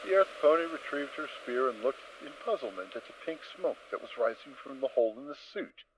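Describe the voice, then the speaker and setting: A man narrating a fan audio drama, reading with expressive storytelling. The audio is dry and slightly dull. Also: mid-range voice, brisk, background noise.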